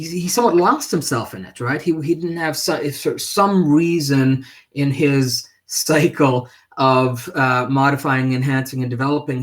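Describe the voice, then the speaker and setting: Male livestream presenter, mid-range voice, halting and repetitive, often tagging thoughts with a questioning word. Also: medium pitch. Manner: halting, with repeated words and frequent tag questions. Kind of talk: unscripted livestream talk.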